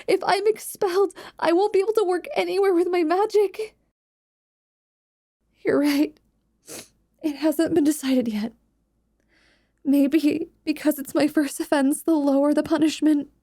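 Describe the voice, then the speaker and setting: A woman's voice: high voice, measured pace, long pauses, mellow, voice pushed up. A woman playing an anxious, deferential young magic student, earnest and hesitant, in a fantasy audio roleplay. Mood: distressed, guilty.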